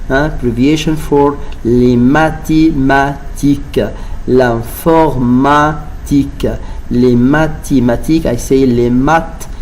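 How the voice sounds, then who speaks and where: Man, French teacher, slow deliberate delivery, switches between French and English, repeats words, faint background ambience. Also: medium pitch, darkish tone, slight background noise. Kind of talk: flipped-class vocabulary lesson.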